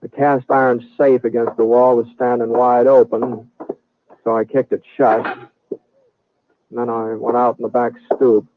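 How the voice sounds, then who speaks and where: A man's voice: mid-range voice, level tone, background noise, lightly acted. Man acting a hesitant, folksy cowboy, muffled old radio. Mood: reflective. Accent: American. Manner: hesitant, halting, folksy drawl. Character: mild-mannered, thoughtful cowboy gunslinger quietly investigating. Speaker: lead actor and narrator of a radio western.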